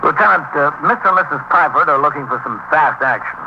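A calm firm police captain, dry measured guy, dull 50s radio with loud hiss. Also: fairly high pitch, creaky. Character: composed, dryly firm male police precinct captain. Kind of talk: 1950s radio drama acting. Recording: very noisy muffled 1950s radio recording.

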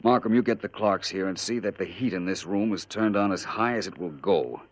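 A man's voice: deep voice, a little fast, no echo. An American man playing a wry, self-assured amateur detective in a 1950s radio drama, dry, teasing and articulate. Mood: wry, confident. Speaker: lead actor of detective series. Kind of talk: 1950 radio drama acting. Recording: dull old radio recording.

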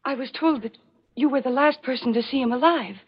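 A bewildered, haunted daughter in a vintage radio mystery. Her voice is high and earnest, with a dazed, dramatic manner. The recording is dull and old. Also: brisk, husky. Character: troubled, bewildered daughter of a hanged killer. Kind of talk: 1940s radio mystery acting.

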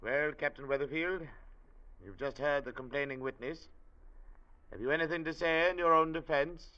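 An actor playing a stern, commanding judge with a formal, authoritative manner in an old radio drama. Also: male voice, fairly high pitch, moderate pace, natural voice. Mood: formal, measured. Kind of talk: 1940s-50s radio drama acting. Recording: dull vintage radio recording.

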